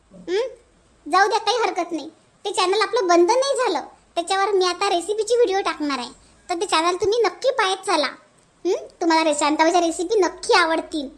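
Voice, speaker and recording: A man's voice: very high-pitched, a bit bright. A man voicing a cheerful middle-aged village woman in a cartoon, chatty and folksy with a Varhadi Marathi accent. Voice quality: folksy, chatty, adds "हं?" tag questions. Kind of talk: cartoon voice acting.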